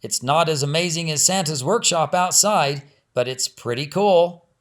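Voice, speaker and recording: A man's voice: fairly high pitch, moderate pace, lightly acted. A man voicing a practical, good-natured middle-aged father in a storybook, with a casual, friendly manner. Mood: casual, inviting.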